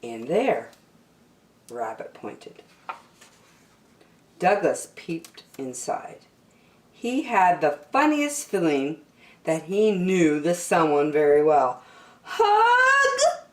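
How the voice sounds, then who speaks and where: A woman reads a picture book for young children at an unhurried pace. Her pitch rises and falls expressively, with key words drawn out. The room around her is echoey. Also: animated, darkish tone. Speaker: storyteller. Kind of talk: animated children's storybook reading.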